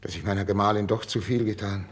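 An actor playing a proud, jealous older king in a classical radio drama, imperious and theatrical, swinging from hushed menace to outbursts. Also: fairly low pitch, little pitch movement, fast talker, close to an everyday voice. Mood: hushed, suspicious. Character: proud, jealous, volatile older Spanish king, tormented by suspicion. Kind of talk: 1950s German classical radio drama acting.

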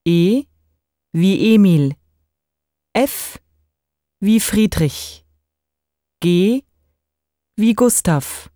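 A female language-course speaker reads model items extremely slowly, in a medium-pitched voice. Each word is deliberate and carefully enunciated, and the sound is dry. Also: a little mellow, dry recording. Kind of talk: scripted reading of model words and sentences.

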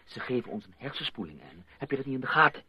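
A man playing an intense, suspicious patient in a radio drama, speaking with insistent, deliberate urgency. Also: medium pitch, big pitch swings, bright, forceful tone. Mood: urgent, conspiratorial. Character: intense, suspicious male patient convinced of brainwashing. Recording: dull old radio play recording.

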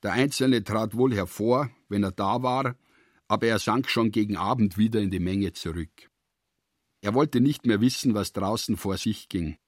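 Low voiced man reading literary radio drama, sober and measured, very dry recording. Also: dry recording. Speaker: narrator.

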